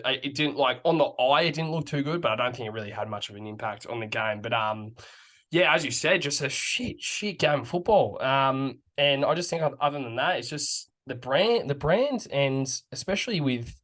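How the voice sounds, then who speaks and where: A young Australian man co-hosting a casual football podcast, his voice a little croaky, relaxed and loose with plenty of fillers and the odd swear. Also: medium pitch, normal speed. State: slightly croaky voice. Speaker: podcast co-host. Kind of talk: casual sports chat.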